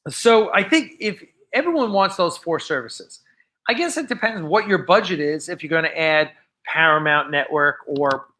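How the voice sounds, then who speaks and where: An American man hosting a casual live fan show, chatting off the cuff in a rambling, halting way that often trails off. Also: a little high, bright, forceful tone. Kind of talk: casual unscripted livestream chat.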